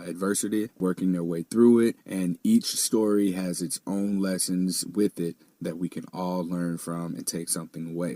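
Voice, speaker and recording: A man's voice: deep voice, dark tone, no echo. A man in his thirties hosting a podcast in a casual, rambling way, laughing easily at his own asides. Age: 30s. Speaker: podcast host.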